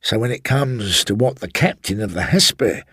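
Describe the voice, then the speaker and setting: A man voicing a sly, brooding elderly former servant with a rustic working-class English accent, telling his tale slowly and insinuatingly in a husky voice. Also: fairly low pitch, expressive, bright, forceful tone, no echo, clean studio sound, pitched above the performer's own voice, full character voice. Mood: defensive, ominous. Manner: slow, insinuating, colloquial storytelling. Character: sly elderly former manservant, evasive and brooding, recounting a haunting.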